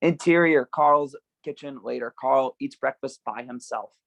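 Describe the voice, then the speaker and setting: Gravelly man narrating stage directions. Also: mid-range voice, unhurried, fairly bright tone, dry recording, muffled recording. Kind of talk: scripted narration, table read.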